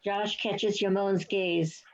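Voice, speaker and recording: A woman's voice: mid-range voice, little pitch movement, slow pace. A woman reading scripted stage directions as the narrator of a play reading. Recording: dull, lo-fi online recording.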